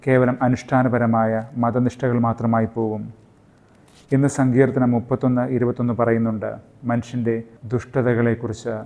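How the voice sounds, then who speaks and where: A man from Kerala delivers a scripted sermon as a podcast host. His voice is mellow and dark, and it stays level and even from phrase to phrase. He talks in a measured way and slips English phrases into his reflection. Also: mid-range voice. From Kerala, India. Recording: intro with music and roomy hall reverb.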